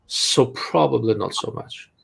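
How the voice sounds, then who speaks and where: A man's voice: medium pitch, a little slow, creaky, a bit bright, a little hiss or hum. A man with a Russian accent hosts a live Q&A, answering viewer questions in a blunt, dryly sarcastic way. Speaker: host. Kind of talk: live-stream chat and Q&A.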